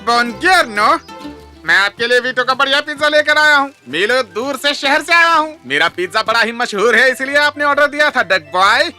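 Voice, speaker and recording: A man's voice: very high-pitched, lively intonation, normal speed, sharp tone, faint music behind, full character voice. A man playing a chirpy, boastful Italian pizza delivery man in a cartoon dub, showy and eager. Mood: cheerful, boastful.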